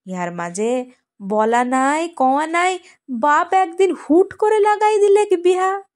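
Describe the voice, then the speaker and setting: A woman performing a dramatic monologue poem as a poor young village woman, plaintive yet defiant, in a rural Purulia dialect of western Bengal with lingering pauses. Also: very high-pitched, expressive, pitched above the performer's own voice, full character voice.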